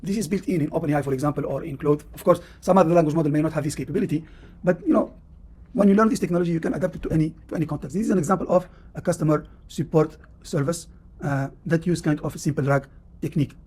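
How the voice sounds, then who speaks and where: A man's voice: fairly high pitch, rapid-fire, a little mellow, husky. A man with a Tunisian accent, a professor giving a classroom lecture and explaining at length with many "uh"s and "you know"s. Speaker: university professor.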